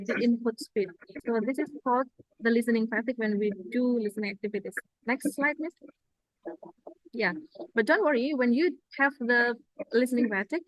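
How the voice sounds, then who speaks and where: Female lecturer, Indonesian accent and native Indonesian speaker, higher-pitched voice, dry close sound, halting explanations over slides. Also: a bit bright, dry recording, poor audio. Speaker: lecturer presenting at a language-learning seminar.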